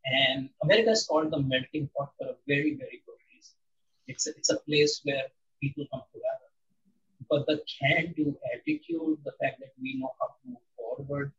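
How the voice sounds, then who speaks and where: A man in his sixties from India, answering interview questions in a measured, reflective way with frequent pauses and ums. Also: medium pitch, fairly bright tone, distant mic, lo-fi sound, a little hiss or hum. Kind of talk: interview conversation.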